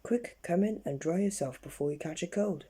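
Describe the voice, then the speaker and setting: Storybook strongman, voiced by a reader: concerned, scolding, a touch husky. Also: mid-range voice, moderate pace, mellow, pitched above the performer's own voice, natural voice.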